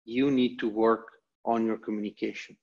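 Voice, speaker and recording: A man's voice: on the low side, level tone, normal speed, a little mellow. An Italian man with an Italian accent presenting a motivational online lecture, speaking in emphatic, repeated short phrases over a video call. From Italy. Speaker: guest presenter. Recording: dull, lo-fi video call audio.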